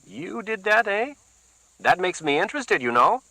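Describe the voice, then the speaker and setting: A man's voice: sits high, very animated, moderate pace, sharp tone, no echo, pitched above the performer's own voice, heavily acted, poor audio. A man voicing a small, wiry Puerto Rican man in his thirties with a light Puerto Rican Spanish accent, menacing and suspicious in clipped, broken English.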